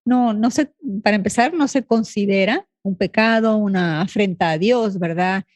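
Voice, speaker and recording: A woman co-hosting a radio panel, talking thoughtfully about faith with many pauses and fillers. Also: medium pitch, a little mellow, dry recording. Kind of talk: reflective faith discussion.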